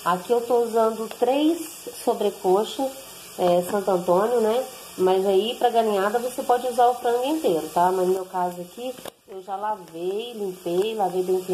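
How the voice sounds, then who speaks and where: A woman's voice: medium pitch, warm tone, a little hiss or hum, poor audio. A Brazilian woman explaining a recipe step by step at her home kitchen stove, filming one-handed with her phone and checking in with viewers constantly. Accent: Brazilian Portuguese. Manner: chatty, explains step by step, frequent tag questions. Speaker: home cook vlogger. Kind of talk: casual cooking tutorial. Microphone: handheld phone camera.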